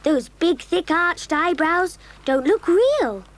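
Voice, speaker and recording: An actress playing an earnest, gentle child adventurer in a children's audio drama. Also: female voice, very high-pitched, expressive, slow, heavily acted. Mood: matter-of-fact.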